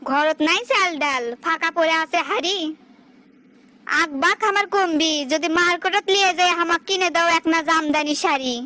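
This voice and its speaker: Feisty, nagging village wife for a comic cartoon, played by a woman: extremely high, sharp voice, northern Bangladeshi accent, rhyming complaints. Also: normal speed. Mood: wheedling. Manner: complaining, sing-song rhyming delivery. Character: feisty, long-suffering, nagging village wife bickering in rhyme. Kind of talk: comic dialect cartoon dialogue.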